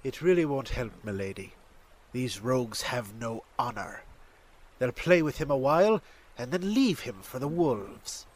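A man's voice: medium pitch, expressive, unhurried. A man playing a grave, courteous villager in an audio drama, speaking in a formal, old-fashioned way.

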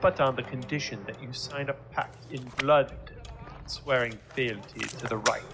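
Slow, menacing German commandant, played by a man. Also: medium pitch, music in the background, close to an everyday voice. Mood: grandiose, ominous. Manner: ominous, theatrical, deliberate. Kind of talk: audio drama acting.

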